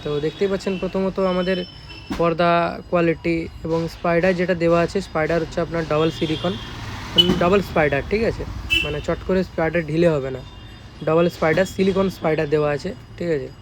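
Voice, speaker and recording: A man's voice: fairly high pitch, fairly even intonation, fairly quick. A man with a West Bengal Bengali accent casually showing products in a vlog, informal and often checking in with listeners. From West Bengal, India. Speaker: speaker repair shop owner and presenter. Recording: noisy, with vehicle and outdoor ambience.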